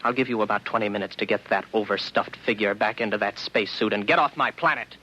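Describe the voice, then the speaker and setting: A man's voice: fairly low pitch, fast, sharp tone. An actor playing a weary, isolated space officer in a 1950s radio drama, brooding and sardonic as he talks through his doubts. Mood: bitter, sardonic. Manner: sardonic, brooding, talking to himself. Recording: noisy, dull vintage radio recording.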